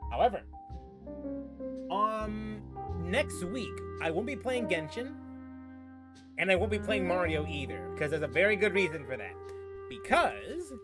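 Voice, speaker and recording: A man giving casual live stream commentary as a game streamer, halting and repeating his phrases. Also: sits high, very animated, over music.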